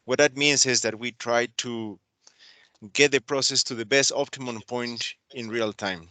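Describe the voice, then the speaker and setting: Peruvian-accented engineer, earnest and halting; bright voice, dry close mic. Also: male voice, mid-range voice, fairly even intonation, dry recording. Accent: Peruvian Spanish. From Peru. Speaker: process control engineer. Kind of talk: webinar self-introduction.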